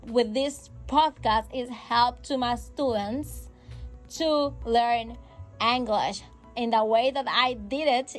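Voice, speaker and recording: A woman with Spanish as her first language hosts an English-learning podcast, talking slowly and warmly repeating her key tips. Her voice sits slightly high. Faint music plays behind her. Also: poor audio. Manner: encouraging, repeats key phrases, non-native grammar slips. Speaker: English teacher and podcast host. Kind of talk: casual podcast lesson.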